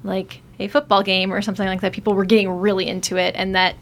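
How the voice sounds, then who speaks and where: A woman's voice: mid-range voice, level tone, fast talker. A woman on a podcast, chatting casually and recounting an event with frequent fillers. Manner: chatty storytelling, many fillers, laughs easily. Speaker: podcast guest. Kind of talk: casual chat.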